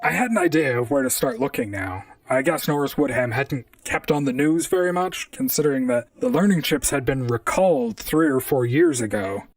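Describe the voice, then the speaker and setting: A man playing a wry, deadpan robot private detective in a noir audio drama, both narrating and talking to others. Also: a little high, normal speed, gravelly, few pauses, distant mic, a little hiss or hum, full character voice. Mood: wry, musing. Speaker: narrator and lead character.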